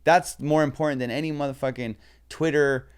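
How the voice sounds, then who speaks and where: A man, a comedian guest on a podcast, chatting casually with lots of swearing and animated storytelling. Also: on the high side, fairly quick, smooth. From Southern California.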